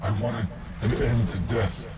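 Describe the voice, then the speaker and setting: An actor playing a sorrowful, tormented artificial intelligence in a video game, narrating its past in a brooding, solemn manner. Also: male voice, on the low side, steady tone, measured pace, heavy background noise, lightly acted. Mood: brooding, haunted. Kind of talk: dramatic scripted game narration. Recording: loud music behind the voice.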